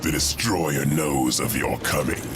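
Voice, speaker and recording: A man's voice: very deep voice, measured pace, over music. A man voicing a menacing, gloating monstrous villain in a video game, taunting and threatening. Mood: gloating menace. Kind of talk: video game character acting.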